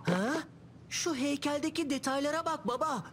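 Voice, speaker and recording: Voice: sits high, moderate pace, a bit bright, slight background noise. An actor voicing a sensible, eager young boy lion cub in a cartoon, with a knowing manner. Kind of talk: cartoon voice acting. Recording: music under dialogue.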